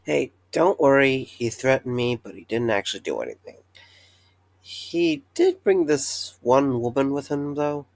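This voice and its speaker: A man's voice: mid-range voice, animated, a little slow, creaky, no echo. An actor playing a tired, earnest young man who wants to reopen a pizzeria, talking with hesitant fillers. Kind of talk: fan audio drama acting.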